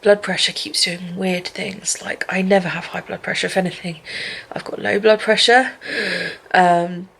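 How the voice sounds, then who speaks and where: A woman talking in a personal video diary from her apartment, speaking in a weary, low-energy way. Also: steady tone, moderate pace, gravelly, fairly bright tone. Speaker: vlogger. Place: apartment at home.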